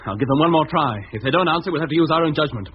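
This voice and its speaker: A man acting as a brisk, commanding rocket captain in a 1950s radio drama, steady under pressure. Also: fairly high pitch, husky. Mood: commanding, urgent. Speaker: actor in a radio serial. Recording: muffled, hissy 1950s radio recording.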